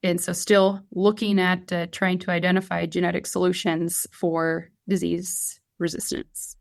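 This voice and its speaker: A woman's voice: on the low side, little pitch movement, measured pace, vocal fry. A woman, explaining her field as an expert guest on a podcast. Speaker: guest expert, swine geneticist. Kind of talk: explanatory podcast interview talk.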